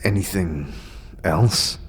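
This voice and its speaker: A man's voice: low voice, takes it slowly, slightly rough, voice pushed down, full character voice. A man voicing a puzzled wanderer in a fantasy audio drama, asking hesitant questions. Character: puzzled male wanderer seeking answers and advice.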